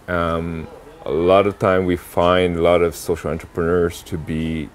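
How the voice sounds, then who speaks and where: A young adult man from South Korea answering interview questions about impact investing, pausing often with fillers and restarts. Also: very deep voice, slight background noise. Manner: halting, many fillers and restarts.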